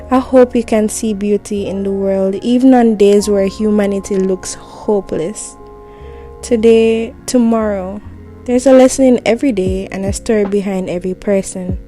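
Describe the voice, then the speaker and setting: A woman delivers a gentle, reassuring, intimate spoken monologue, speaking directly to the listener like a heartfelt voicemail. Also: mid-range voice.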